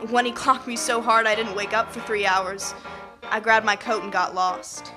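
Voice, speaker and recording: A woman's voice: mid-range voice, little pitch movement, normal speed, natural voice, rough recording. A woman playing a mysterious gangster's runaway girlfriend in a noir radio drama. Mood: troubled. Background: music.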